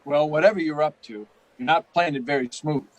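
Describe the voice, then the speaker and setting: A man's voice: on the high side, brisk, dry recording, lightly acted, rough recording. A man playing a slangy, wary, bribable hotel house detective in an old-time radio play. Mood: wary, gruff.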